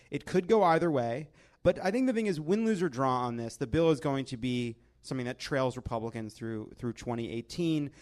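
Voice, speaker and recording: An American man hosting a comedy politics panel with wry, exasperated banter and casual swearing. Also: on the high side. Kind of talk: comic banter and topical commentary.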